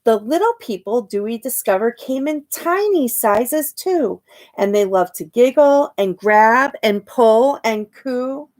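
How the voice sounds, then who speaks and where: A woman reading a children's picture book aloud expressively, pausing to ask her young listeners questions and point things out. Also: fairly high pitch, lively intonation, a little mellow. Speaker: storytime reader and teacher.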